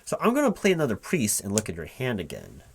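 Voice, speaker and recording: A man in a spoken, unwhispered ASMR roleplay, talking casually and haltingly to an unheard partner as if puzzling things out aloud. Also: on the low side, huge pitch swings, creaky, a little hiss or hum. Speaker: recruiting manager interviewing a gamer in a one-sided roleplay. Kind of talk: spoken ASMR roleplay, casual one-sided conversation, not whispered.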